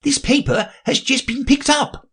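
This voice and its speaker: A man voicing a nervous, fussy rabbit acting as court herald, speaking in a prim, respectful, hurried way. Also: extremely high pitch, sharp tone, slightly rough, voice pushed up, full character voice.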